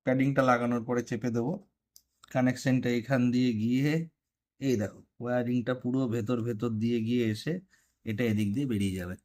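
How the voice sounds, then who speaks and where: A man's voice: mid-range voice, level tone, fast, a little mellow. A man narrating a hands-on motorcycle vlog tutorial casually, talking viewers through each step in an informal way. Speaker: motorcycle vlogger.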